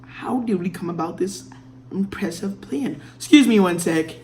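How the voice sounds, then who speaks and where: A speaker playing a government official in an amateur skit, projecting loudly in a casual way. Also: mid-range voice, animated, normal speed, a little hiss or hum, natural voice. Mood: breezy, loud. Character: government official giving a speech, interrupting himself.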